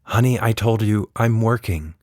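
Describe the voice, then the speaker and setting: A man voicing a busy storybook mother, patient but firm, flat and gently dismissive. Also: on the low side, moderate pace, dry recording, clean studio sound, lightly acted. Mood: distracted, firm.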